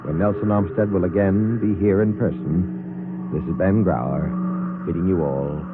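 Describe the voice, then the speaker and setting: Low American male announcer, crackly old radio. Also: fairly even intonation, a little slow. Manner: formal. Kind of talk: 1950s scripted radio sign-off. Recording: very noisy tinny 1950s radio.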